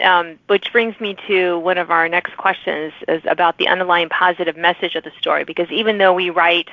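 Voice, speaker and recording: A woman's voice: on the low side, no room sound. Phone-like audio, enthusiastic woman podcast host. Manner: enthusiastic, encouraging. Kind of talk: conversational interview.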